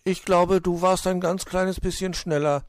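A man's voice: high-pitched, steady tone, a little slow, dry recording, clean studio sound, pitched above the performer's own voice. A man voicing a cheerful, headlong young hare in a children's story. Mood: conceding, sorry.

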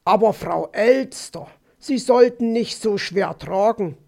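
A man voicing a dazed but gallant and gentle male fox in a storybook, polite and friendly. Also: high-pitched, slow, creaky, full character voice.

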